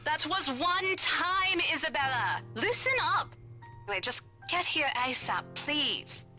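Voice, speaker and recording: A brash young British woman in a visual novel. Her voice is bright and a little high, sassy and sceptical, over faint music. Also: expressive, measured pace, heavily acted. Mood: dismissive, breezy. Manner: sassy, breezy. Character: brash, teasing, sceptical young woman real estate colleague.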